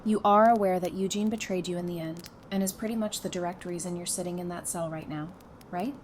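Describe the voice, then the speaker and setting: A woman playing a sassy, sarcastic, quick-witted wife with dry, teasing delivery in an audio drama. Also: lowish voice, fairly even intonation, lightly acted. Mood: matter-of-fact. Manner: dry, teasing, matter-of-fact. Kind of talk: fan audio drama acting. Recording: clearly noisy background.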